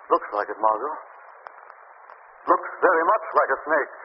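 A calm wry detective. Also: male voice, on the high side. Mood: tense. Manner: measured, dry, commanding. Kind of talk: 1930s radio drama acting. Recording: lo-fi noisy old radio recording.

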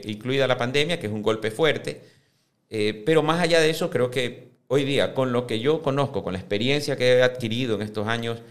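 A man in his fifties answering interview questions on a podcast, speaking in a measured way that often restarts and repeats words as he builds his points. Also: medium pitch. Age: 50s. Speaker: club presidential candidate.

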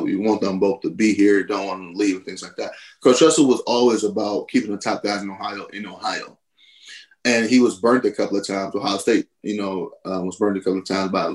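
A man in his thirties, low-pitched and relaxed, recounting old football days in an easygoing, storytelling way. He laughs often, and his voice leaps up high when he acts out what he said back then. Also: rough recording. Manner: animated, laughing, jumps high when quoting himself. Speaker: podcast guest, former college football player. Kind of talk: casual storytelling.

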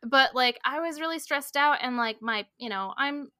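A woman's voice: sits high, normal speed, pushed and bright, smooth, no room sound, studio quality. A woman in her twenties, a podcast guest, telling her story in casual chat with lots of "like" and "um" fillers. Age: 20s. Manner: rambling, filler-heavy storytelling with frequent "like" and "um".

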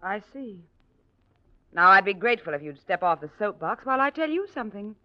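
A young actress playing a defiant, headstrong heiress in 1940s radio drama. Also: female voice, mid-range voice, big pitch swings, fairly quick, close to an everyday voice. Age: young adult. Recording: dull vintage radio recording.

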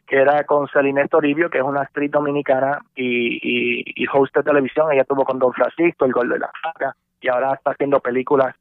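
A man's voice: on the high side, no room sound. Radio man on a phone line. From born in New York, raised between Puerto Rico and the US. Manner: relaxed, many fillers, mixes English words. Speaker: radio host guest. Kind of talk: casual interview, recounting career. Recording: tinny phone line, dry.

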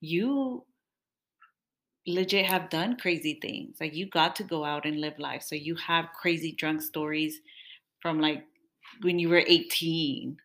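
A woman's voice: low voice, measured pace, fairly bright tone. Chatty, laughing young woman. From Mexican-American family. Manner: chatty, laughs often, playful complaining. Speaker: podcast co-host. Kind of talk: casual chat with partner.

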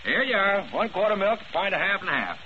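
A courteous, chatty milkman from an old 1940s radio play. His voice is high and quick, with a folksy politeness, heard through a noisy broadcast recording. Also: big pitch swings, muffled recording. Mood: cheerful. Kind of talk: 1940s radio drama acting.

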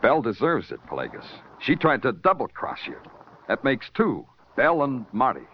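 An actor playing a tough, sardonic police detective in a 1940s radio crime drama. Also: male voice, medium pitch, wildly swooping pitch, moderate pace, a little hiss or hum. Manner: dry, sardonic, hard-boiled. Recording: dull lo-fi old radio recording.

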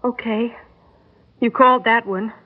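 An actress playing a skeptical young secretary in a radio drama, hesitant and stammering, on a muffled old recording. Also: female voice, on the high side, fairly even intonation, a little slow. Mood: flustered. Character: skeptical, flustered young woman secretary phoning the future. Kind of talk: classic radio drama acting.

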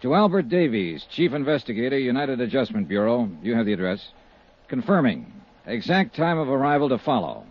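An American actor playing a brisk, wry insurance investigator in a 1950s radio drama, narrating in clipped, dry phrases. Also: male voice, mid-range voice, very animated, breathy edge. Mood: businesslike. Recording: dull 1950s radio recording.

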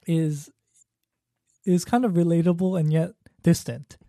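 A man's voice: on the high side, fairly even intonation, unhurried, warm tone, no room sound, clean studio sound. A man talking through his thoughts on a film in a casual discussion, thoughtful and hesitant with many fillers.

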